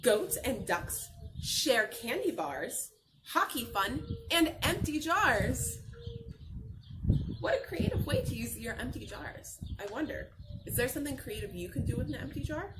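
A woman's voice: fairly high pitch, big pitch swings, measured pace. A young adult woman reading a picture book aloud to children as a teacher, expressively, asking questions and answering them. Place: outdoor patio by a garden. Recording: noisy outdoor camera recording.

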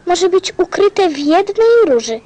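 A woman playing a gentle, earnest little boy prince in a radio play, with a simple, solemn, childlike manner. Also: very high-pitched, animated, a little slow. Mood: solemn, wistful. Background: music.

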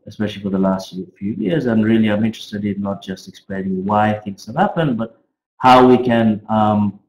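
A middle-aged man gives an informal academic presentation as a finance professor, speaking haltingly with frequent pauses. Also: on the low side, a little mellow. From Australia. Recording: roomy, echoey webcam audio.

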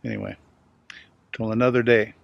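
A man's voice: lowish voice, flat delivery, normal speed, no echo, dull audio. A man in his sixties talking casually and plainly to camera outdoors, with a self-deprecating manner, as an amateur filming himself. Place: outdoors in a suburban front yard. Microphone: camera mic, at varying distance. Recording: clear outdoor ambient noise.

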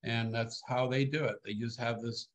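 A man in his sixties, born in Sweden, giving a relaxed, joking talk over a video call from a home office, with many fillers and restarts. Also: medium pitch, moderate pace. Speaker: guest speaker.

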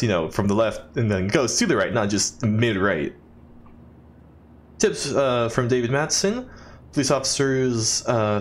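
A man's voice: medium pitch, a little hiss or hum. A young man giving casual video game commentary as a let's play host, rambling with wry asides.

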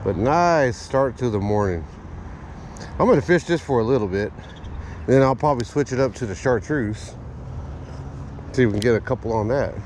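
A man giving casual running commentary while fishing at a park pond, talking to an action camera and getting excited over his catches. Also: medium pitch, normal speed, long pauses. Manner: easygoing, talks to himself and the camera, excited by catches. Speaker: fishing vlogger. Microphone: chest- or head-mounted action camera. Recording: faint outdoor noise.

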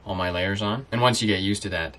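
A man's voice: fairly low pitch, fast, a bit bright. A young man who lives on a sailboat, chatting casually and explaining things easily with plenty of fillers, inside a small boat cabin. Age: young adult. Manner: easygoing, explanatory, many fillers. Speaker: sailboat-living vlogger. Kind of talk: casual chat.

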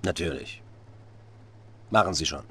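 A man's voice: very deep voice, measured pace, gravelly, a bit bright, slightly rough, exaggerated voice. A middle-aged man playing a stern, impatient Soviet dictator with a curt, commanding manner in a dubbed video game cutscene.

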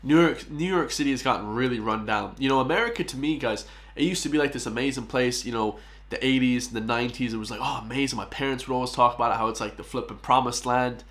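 A man's voice: mid-range voice, fast talker, a bit bright. A young Irish man chatting casually in a small room as he reacts to a video, often calling out to "guys". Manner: chatty, keeps addressing "guys". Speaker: YouTube reactor.

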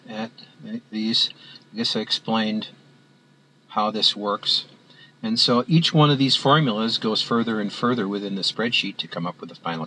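A man with a somewhat low voice talks through a spreadsheet in a plain screen-recorded tutorial. He speaks haltingly, filling gaps with "uh" and restarting phrases. A faint hiss sits under the recording. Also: pushed and bright, low-quality recording. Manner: halting, many filler words and restarts. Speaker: instructor. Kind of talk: screen-recorded software walkthrough.

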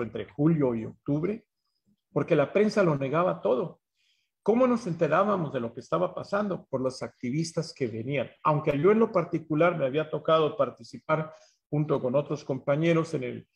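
A man gives a talk recalling his memories, haltingly, searching for words and repeating phrases. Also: on the high side, gravelly. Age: 70s. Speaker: speaker at a history symposium. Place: small bright room indoors, on a video call.

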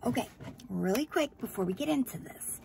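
A woman vlogger talking casually to camera, hoarse and a little scratchy after surgery, chatty and rambling as she gushes. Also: on the high side, animated, normal speed. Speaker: beauty vlogger.

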